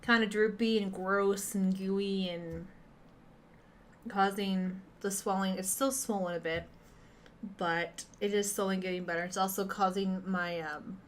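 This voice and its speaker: A woman's voice: medium pitch, fairly even intonation, a little slow, slight background noise. A young woman with a stuffy nose who sounds nasal, chatting candidly to her viewers over a webcam at home. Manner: chatty, candid, laughs at herself. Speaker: gamer and streamer. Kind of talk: casual chat to viewers.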